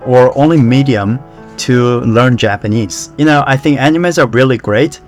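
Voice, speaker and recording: A young man from Korea with a Korean accent, talking to camera in a relaxed, conversational way about how he learned a language. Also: mid-range voice, moderate pace, soft background music. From South Korea. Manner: relaxed, conversational, with fillers like "you know" and "like". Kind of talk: casual talk to camera.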